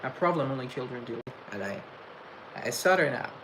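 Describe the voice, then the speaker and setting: A young man hosting a casual livestream, chatting reflectively and reading chat aloud, with a halting, stuttering delivery full of restarts. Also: mid-range voice, expressive. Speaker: livestream host. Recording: noisy room tone.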